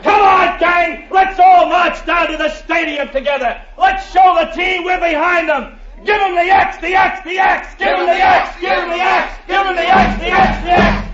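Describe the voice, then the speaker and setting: An actor playing a dim, eager American college football star in a vintage comedy, simple-minded and gung-ho. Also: male voice, high-pitched, expressive, slow pace, over loud music, a loud crowd. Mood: rousing shouts. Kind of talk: vintage American sitcom acting. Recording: muffled old broadcast with loud studio audience.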